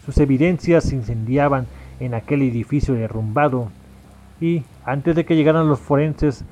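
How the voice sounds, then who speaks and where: A man's voice: medium pitch, normal speed, mellow, soft background music. A man reading scripted narration for a sci-fi noir audio drama. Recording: clear background noise, ambient sound effects.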